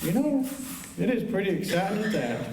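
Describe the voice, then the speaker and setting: A man's voice: sits high, very animated, brisk, crowd noise. A man acting a polite, bashful, folksy marshal's helper in a western radio drama, speaking hesitantly. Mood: bashful, modest. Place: high school auditorium stage. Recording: echoey auditorium, distant mic, faint noise.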